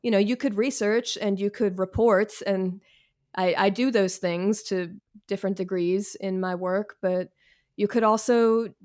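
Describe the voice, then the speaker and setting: A woman's voice: mid-range voice, fairly even intonation, a little slow, darkish tone. A woman guest author giving informal, candid interview answers in a wry, self-deprecating way, speaking from home on a video call.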